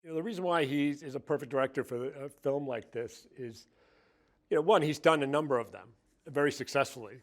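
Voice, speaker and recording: A man speaking hesitantly in an interview, filling his pauses with many "uh"s. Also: medium pitch. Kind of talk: casual junket interview.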